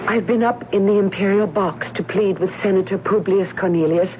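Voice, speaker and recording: A woman's voice: mid-range voice, fairly quick, natural voice. Woman acting a distraught young Pompeian, old radio. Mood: troubled. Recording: noisy, muffled old radio recording.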